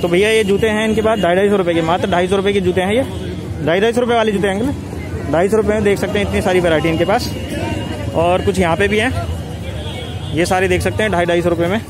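Male market vlogger, higher voice, phone mic, street crowd behind. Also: steady tone, over music. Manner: brisk, repeats prices to camera. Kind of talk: walking market tour, asking prices. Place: busy outdoor street market. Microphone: handheld phone camera. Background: crowd chatter and traffic.

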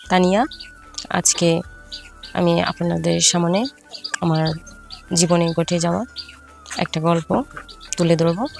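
A middle-aged woman with a Bangladeshi Bengali accent tells a first-person life story, halting and repeating herself with filler phrases. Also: fairly low pitch, gravelly, darkish tone. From Faridpur, Bangladesh.

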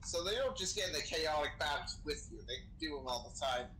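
A man with a slightly high, bright voice talks casually as he role-plays in an online game. His manner is loose and sweary. The voice chat carries some light noise. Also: animated, brisk, rough recording. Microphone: game voice chat microphone.

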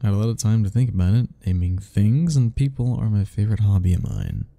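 A man's voice: lowish voice, big pitch swings, fast, gravelly, warm tone, no room sound. A man playing an anxious, sarcastic, self-deprecating young man in a romantic ASMR roleplay, speaking in a wry, rambling way to the listener. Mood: awkward, teasing. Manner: sighing, wry, rambling, chuckling.

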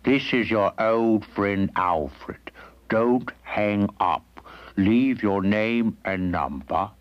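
A man doing a comedy impression of a macabre, ominously courteous old horror-film host. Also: on the low side. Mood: ominously polite. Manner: slow, ominously polite. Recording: muffled tape, long cavernous reverb.

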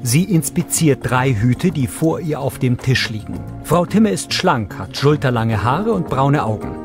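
A man's voice: mid-range voice, animated, measured pace, music in the background. A man reading scripted audio description narration for a television series.

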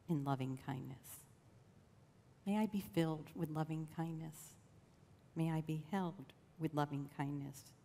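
A woman leading a guided meditation in a slow, gentle, soothing way with long pauses. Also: sits low. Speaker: church meditation leader. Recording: long reverb of a large room.

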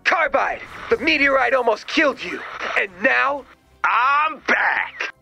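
An actor playing a zealous, theatrical revolutionary leader in a video game, given to grandiose rallying speeches. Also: male voice, very high-pitched, animated, slow pace, gravelly, pushed and bright, soft background music, heavily acted. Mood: rousing.

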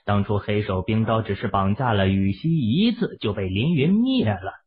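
A man's voice: fairly low pitch, animated, moderate pace, breathy edge. A man narrating a novel expressively as an audiobook reader. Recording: muffled, phone-like narrow sound.

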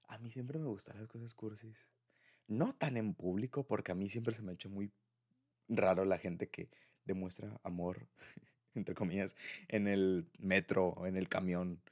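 Young adult man, Mexican accent, gravelly husky voice, intimate boyfriend-roleplay chat, informal, slangy. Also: fairly low pitch, darkish tone. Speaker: audio roleplay creator speaking to a partner.

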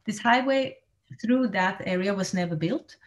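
A woman's voice: medium pitch, measured pace. A woman in her forties speaks into a laptop webcam from a small room at home. She talks in a thoughtful, searching way, with frequent ums and restarted phrases, like someone presenting to colleagues online. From Switzerland. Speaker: art space director. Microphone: laptop webcam on video call.

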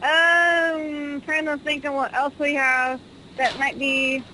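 A woman's voice: high voice, level tone, takes it slowly, pushed and bright. A woman working as a store employee, helpfully and tentatively answering a customer on the phone. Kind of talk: casual customer-service phone talk. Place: store, on a telephone. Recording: noisy phone line call.

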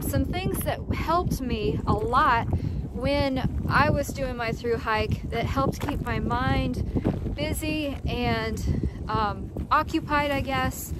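Reassuring woman vlogging outdoors. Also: a little high. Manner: earnest, reassuring, many fillers and restarts. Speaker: vlogger, thru-hiker. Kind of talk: encouraging casual advice to camera. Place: outdoors on a dirt trail in open fields. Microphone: phone camera held at arm's length. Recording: outdoor recording with clear background noise.

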